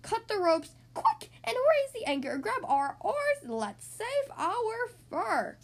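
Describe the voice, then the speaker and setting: A woman's voice: very high voice, very animated, slow pace, voice pushed up, heavily acted. A woman voicing a level-headed male cat pirate sailor in a children's story, calling out commanding orders. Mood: urgent, commanding.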